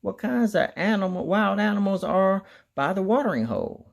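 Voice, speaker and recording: A man narrating a children's picture book aloud in an expressive storytelling style. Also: high voice, moderate pace, gravelly. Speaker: storybook reader.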